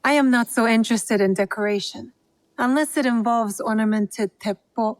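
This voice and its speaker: Lady playing a reserved young woman in a game, slightly high voice, dry close sound, polite but blunt. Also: normal speed, no echo, lightly acted. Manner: plain-spoken, polite. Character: earnest, reserved, blunt young female student of tea. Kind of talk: video game cutscene acting.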